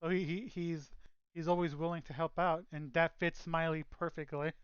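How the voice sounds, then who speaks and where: A man's voice: on the high side, flat delivery, normal speed, clear, resonant tone, no echo, highs cut off. A man hosting a casual podcast over a call, joking around and restarting his sentences. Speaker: podcast host. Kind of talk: casual chat. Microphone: own mic on voice call.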